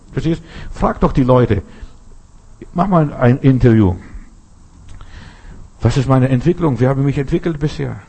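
A man's voice: medium pitch, vocal fry, mellow, husky. A man preaching a sermon, emphatic and full of rhetorical questions, often restarting his sentences.

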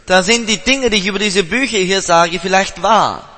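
A man's voice: high voice, normal speed, bright, forceful tone. Male church interpreter in an echoing hall. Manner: translates each phrase right after the preacher. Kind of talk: live sermon interpretation.

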